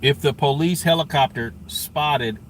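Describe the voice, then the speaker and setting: A man's voice: on the high side, measured pace, poor audio. A man giving casual live-stream commentary on police scanner calls, conversational and thinking aloud. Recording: faint ambient vehicle and scanner noise.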